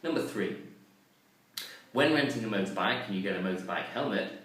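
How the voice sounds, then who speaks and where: A man with a low, deep voice chats casually into the camera. He pokes fun at himself and fills his pauses with ums and uhs. His voice comes from well away from the mic, with heavy echo and a little background noise. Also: animated, fairly bright tone. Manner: chatty, self-mocking, with frequent ums and uhs. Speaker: vlogger. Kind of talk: casual vlog talk to camera. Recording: very echoey, distant mic, faint noise.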